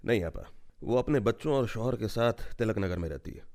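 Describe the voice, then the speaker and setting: An actor in a radio play as a humble, respectful truck driver and family friend, speaking hesitantly and deferentially. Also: male voice, on the low side, lively intonation, brisk, darkish tone.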